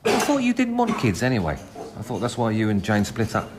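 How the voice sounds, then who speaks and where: Blokey moaning film crew guy, radio drama, lowish voice, noisy cafe clatter. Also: wildly swooping pitch, a little fast. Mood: wry, grumbling. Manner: wry, grumbling, blokey. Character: blokey, grumbling film crew man who loves food. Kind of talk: naturalistic radio drama acting.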